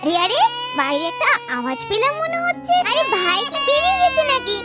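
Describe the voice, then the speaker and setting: An inquisitive, caring little girl in a children's doll cartoon. Her voice is extremely high, childlike and full of exclamations. Loud music sits behind her. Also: huge pitch swings, brisk, full character voice. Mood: alert, curious.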